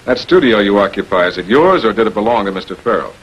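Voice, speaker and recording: Actor as a composed prosecutor, noisy old film soundtrack. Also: male voice, lowish voice, animated, lo-fi sound, close to an everyday voice. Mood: measured, in control. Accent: American. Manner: deliberate, authoritative, methodical questioning. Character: shrewd, composed male public prosecutor confronting murder suspects. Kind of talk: 1940s television crime drama acting.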